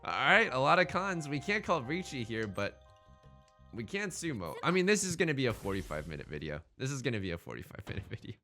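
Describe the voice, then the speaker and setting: A man's voice: medium pitch, sing-song, fast, pushed and bright, soft background music. A man hosting a gaming let's play in casual, bantering commentary, thinking aloud and often repeating his phrases.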